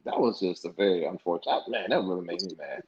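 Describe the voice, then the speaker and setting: A man's voice: sits low, fast talker. A young man from the United States, a podcast guest, telling stories casually and acting out past conversations in colloquial speech. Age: young adult. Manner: animated, acts out past dialogue in colloquial speech. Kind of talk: casual interview storytelling. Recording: dull lo-fi podcast audio.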